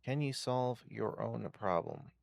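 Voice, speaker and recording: A man's voice: lowish voice, lively intonation, slow pace, creaky, a little mellow, no room sound, clean studio sound. A man giving casual video game commentary as a streamer, thinking aloud through tactics in short bursts. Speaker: gameplay streamer.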